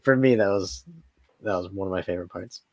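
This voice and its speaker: A man's voice: sits low, fairly quick, a little mellow, no room sound. A man co-hosting a podcast, chatting and telling anecdotes in a loose, informal style peppered with "like". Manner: informal, loose storytelling with many "like"s. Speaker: podcast co-host. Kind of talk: casual chat, anecdotes.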